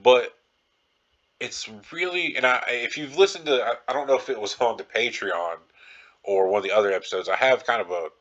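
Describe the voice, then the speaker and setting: A man's voice: medium pitch, brisk, a bit bright, lo-fi sound. A man hosting a podcast solo in a casual, rambling style, informal and circling back to repeat his phrases. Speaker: podcast host.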